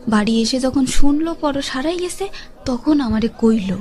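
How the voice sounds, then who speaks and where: A woman's voice: high-pitched, lively intonation, moderate pace, a little mellow, soft background music. A woman playing a middle-aged village neighbour bringing news, with a rural Bengali dialect and emphatic, colloquial delivery. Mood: grave reporting. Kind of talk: audio drama acting.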